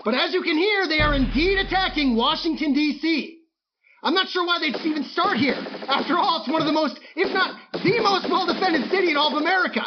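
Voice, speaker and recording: Guy playing a grave defiant radio newsman in an audio drama, really high voice, muffled noisy broadcast. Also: brisk. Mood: indignant. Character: grave male war-time radio announcer, increasingly defiant. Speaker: radio announcer. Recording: band-limited radio sound with clear noise.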